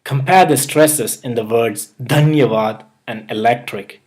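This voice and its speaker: A man's voice: medium pitch, measured pace, darkish tone. A young man with a mild Indian accent, hosting his own channel and reading earnest, step-by-step advice in a scripted tutorial. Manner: earnest, advice-giving, list-by-list delivery. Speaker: YouTube host.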